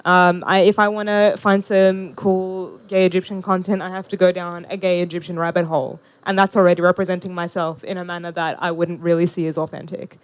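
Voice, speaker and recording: A woman's voice: lowish voice, level tone, a little fast, few pauses, clean tone. A young woman guest on an outdoor panel, speaking animatedly and conversationally into a handheld mic. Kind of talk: casual panel discussion. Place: outdoor park pavilion.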